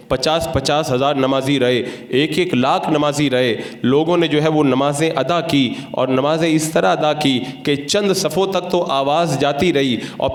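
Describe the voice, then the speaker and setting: Echoey higher-pitched Indian male preacher. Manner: didactic, argumentative, rhetorical questions. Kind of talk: Friday sermon in a mosque. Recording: echoey, reverberant room sound.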